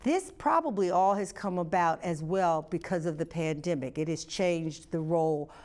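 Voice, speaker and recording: A woman interviewing a guest conversationally, feeling her way through questions in halting, roundabout phrases. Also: lowish voice, a little mellow.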